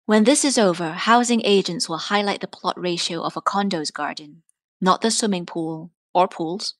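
A woman reading scripted literary prose aloud in a measured, articulate way. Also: fairly low pitch, dry recording, clean studio sound. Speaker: reader of prose and poetry.

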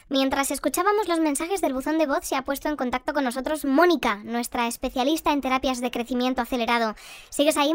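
A woman's voice: high-pitched, no echo, natural voice. An actress playing a polite, composed young woman presenting a scripted news bulletin in an audio drama.

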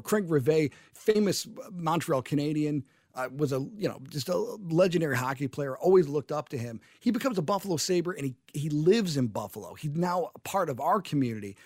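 A man's voice: fairly high pitch, lively intonation, brisk, hardly any pauses, a little mellow, no echo. A man hosting talk radio, speaking in an impassioned, rambling way with frequent restarts, into a studio microphone. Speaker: radio talk show host.

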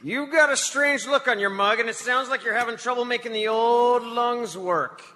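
Sharp-voiced gruff old hermit. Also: male voice, very high voice, moderate pace. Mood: surly. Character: gruff, crazy old mountain hermit, down on his luck. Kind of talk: comic live radio play acting. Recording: echoey live hall, noisy with clicks.

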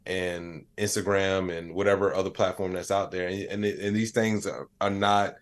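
A man's voice: low-pitched, fairly even intonation, normal speed. A man speaking as a podcast guest in a casual, rambling, slangy conversational style. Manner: rambling, slangy, frequent "you know what I'm saying". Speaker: podcast guest, AI expert and comedian.

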